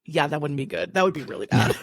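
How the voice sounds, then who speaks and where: A woman's voice: low-pitched, animated, fast, creaky, breathy edge, no echo. A woman co-hosting a podcast in casual chat. She is bubbly, laughs often and uses many fillers. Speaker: podcast co-host.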